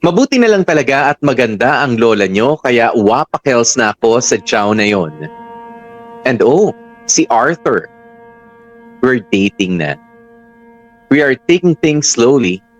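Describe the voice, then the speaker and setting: A man's voice: mid-range voice, big pitch swings, a little slow, long pauses. A man with a Filipino accent narrating a chatty first-person radio drama story. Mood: reflective, sassy. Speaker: narrator reading the letter sender's story. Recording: music bed under dry narration.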